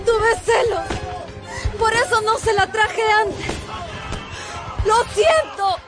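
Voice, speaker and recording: An actress playing a devoted, lovelorn young street woman in a radio drama, speaking slowly and pleadingly. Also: very high voice, animated, full character voice. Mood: tearful pleading. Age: young adult. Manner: slow, pleading. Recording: prominent music under the voice.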